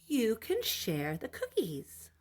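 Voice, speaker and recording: A woman voicing a welcoming, generous mother in a picture story, with a slow, sing-song, exclaiming delivery. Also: a little high, wildly swooping pitch, darkish tone, pitched above the performer's own voice, heavily acted. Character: welcoming, generous mother serving home-baked cookies.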